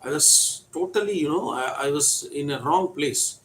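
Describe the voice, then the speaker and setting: A man in his forties from Tamil Nadu with a Tamil accent, telling a frank personal story in English with pauses and fillers. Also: on the high side, a bit bright, a little hiss or hum, rough recording. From Tamil Nadu, India. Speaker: coaching center teacher.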